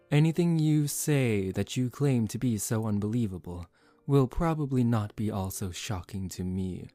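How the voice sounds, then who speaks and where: A man voicing a suave, condescending young male vampire in a soft-spoken ASMR roleplay, calm and teasing. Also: fairly low pitch, no echo, close to an everyday voice. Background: relaxing background music.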